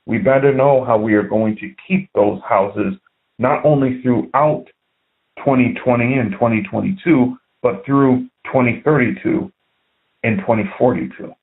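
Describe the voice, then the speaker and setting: American guy calling in a voicemail, slow deliberate emphatic talk, thin tinny phone sound, earnest commentary. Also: mid-range voice. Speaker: podcast listener leaving a voicemail. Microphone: telephone.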